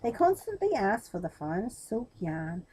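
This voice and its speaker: Animated, mellow-voiced woman storyteller. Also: mid-range voice, moderate pace, slight background noise. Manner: expressive, addresses young listeners directly. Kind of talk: children's storybook reading with chatty asides.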